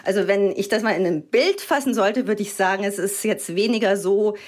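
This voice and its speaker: Female journalist, explanatory, pauses with fillers, interview answers. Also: mid-range voice, a little mellow. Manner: explanatory, uses hesitation fillers. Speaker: education journalist.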